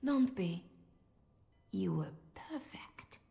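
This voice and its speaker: An actress playing a seductive, soothing female spymaster with a breathy whisper in a video game. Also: medium pitch, animated, very slow, mellow, exaggerated voice. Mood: soothing, intimate. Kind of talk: video game cutscene acting.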